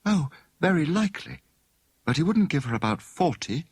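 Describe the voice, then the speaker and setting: A thoughtful, inquisitive young woman sleuth from a storybook, acted out by a male narrator. Her pitch swings widely as she muses over each idea, with a slightly husky edge. The recording is close and dry. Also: very animated, normal speed, no room sound, heavily acted. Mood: speculative.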